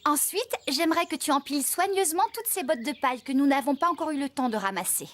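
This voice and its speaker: A woman's voice: high voice, quick pace, fairly bright tone, a little hiss or hum. A woman playing a friendly, organised young woman farmer in a children's cartoon, giving encouraging instructions. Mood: kindly, instructing.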